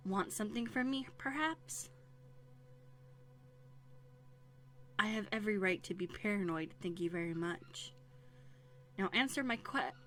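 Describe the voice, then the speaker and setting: A woman's voice: mid-range voice, fairly bright tone. A woman playing a haughty, wary young runaway princess in a scripted audio roleplay, speaking in prim, formal phrases with dramatic hesitations. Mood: indignant, suspicious. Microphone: close USB studio microphone.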